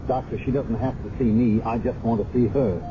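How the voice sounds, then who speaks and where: An actor playing a dogged, guilt-ridden police sergeant in an earnest, insistent 1970s radio drama style. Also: male voice, mid-range voice, fairly even intonation, brisk, lightly acted. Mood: determined. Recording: muffled, noisy 1970s radio recording.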